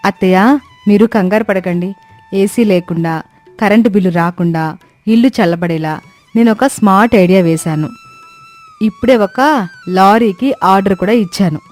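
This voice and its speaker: A woman's voice: mid-range voice, moderate pace, long pauses, a little mellow, faint music behind, lightly acted. A woman voicing a clever, composed young daughter-in-law in a cartoon story, explaining things in a reassuring way. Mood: confident, reassuring. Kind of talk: Telugu cartoon story acting.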